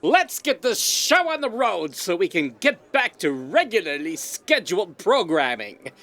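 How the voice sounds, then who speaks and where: A man's voice: high-pitched, sing-song, unhurried, bright, forceful tone, exaggerated voice. A man playing a flamboyant, bossy show director who calls cues theatrically in a comic audio drama. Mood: brisk commanding. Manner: theatrical, commanding.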